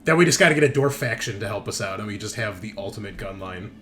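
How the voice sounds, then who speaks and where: Male game master, casual and bantering with salty language; clear background noise. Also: lowish voice, animated, fast talker, a bit bright. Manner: profane, bantering. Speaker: game master and host of tabletop RPG show. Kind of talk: casual chat and session recap.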